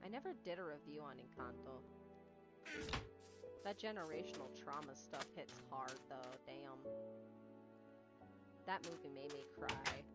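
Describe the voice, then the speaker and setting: Low-voiced young woman streamer with a stutter. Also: measured pace, pauses often. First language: Spanish. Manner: rambling, talks to chat and to the game at once. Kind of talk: casual chat while playing a game. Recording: game music playing behind.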